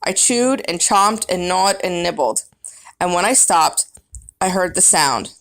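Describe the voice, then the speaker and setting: A woman's voice: on the low side, lively intonation, a little slow, a bit bright. A woman reading a children's book aloud as first-person narrator, with dramatic, emphatic storybook phrasing.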